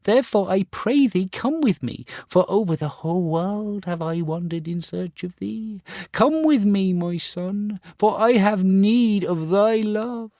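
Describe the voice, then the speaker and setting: A man voicing a grieving beggar mother who pleads with her son in a high, soft voice. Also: expressive, measured pace, no room sound, clean studio sound. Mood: pleading.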